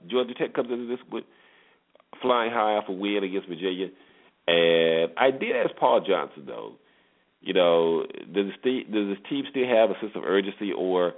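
An American man hosting a sports show, giving emphatic commentary and repeating phrases for effect, on a dull recording. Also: fairly low pitch. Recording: dull, lo-fi band-limited sound.

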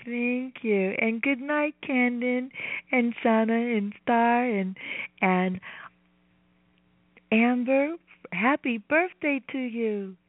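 A woman with a fairly high voice hosts a children's bedtime show, talking gently and slowly. She sounds like she is on a thin phone line with a roomy echo. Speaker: children's storytelling show host.

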